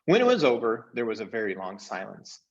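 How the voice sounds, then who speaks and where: A man narrating a children's book aloud as an expressive storyteller. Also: on the low side, brisk, dull audio.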